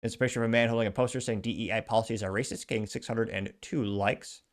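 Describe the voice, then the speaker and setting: A man's voice: on the low side, fairly even intonation, quick pace. Guy running his own news show, reading off posts and chatting about them, easygoing. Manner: reads posts aloud, chatty asides. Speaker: show host. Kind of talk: casual news commentary.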